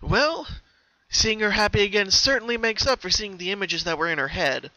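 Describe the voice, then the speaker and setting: A performer voicing a caring, sensible young female cartoon unicorn in a gentle, earnest way. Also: medium pitch, normal speed, dry recording, highs cut off, pitched above the performer's own voice. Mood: relieved.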